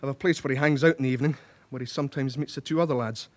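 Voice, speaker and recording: Actor as a methodical British police collator. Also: male voice, medium pitch, fairly even intonation, close to an everyday voice. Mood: pleased, methodical. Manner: measured, explanatory. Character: methodical, self-satisfied male police records collator. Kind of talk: radio drama acting.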